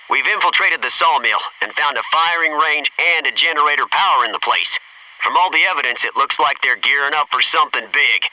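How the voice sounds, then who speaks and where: An actor playing a focused, businesslike Navy SEAL in a military video game, giving terse, clipped tactical callouts and matter-of-fact reports. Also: male voice, a little high, sharp tone, far from the mic, a little hiss or hum, rough recording.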